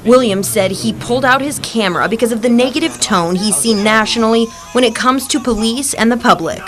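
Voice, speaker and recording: A woman's voice: a little high, fairly quick, a bit bright. A woman with an American accent reading scripted news narration as a television reporter.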